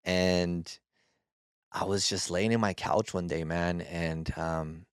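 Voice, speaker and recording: A man in his twenties, a podcast guest, telling his story in a relaxed, halting way with frequent restarts. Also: low voice, clear, resonant tone, no room sound, clean studio sound. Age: 20s. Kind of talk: casual storytelling.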